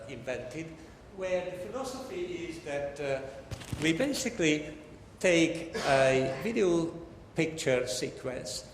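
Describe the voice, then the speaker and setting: A man giving a formal, measured academic lecture with slides, speaking with some hesitation in a large hall. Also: fairly high pitch. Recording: echoey hall, long reverb, faint noise.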